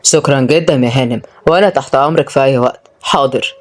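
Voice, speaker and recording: A woman voicing a lovestruck, excitable Egyptian grandfather in a storybook, earnest and dramatic. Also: sits low, very fast, voice pushed down. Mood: embarrassed. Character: lovestruck, excitable grandfather, sporty and easily flustered.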